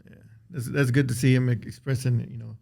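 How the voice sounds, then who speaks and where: Flat gravelly man interviewer. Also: medium pitch, a little fast. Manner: rambling, lots of "you know" fillers. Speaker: interviewer host. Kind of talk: casual interview.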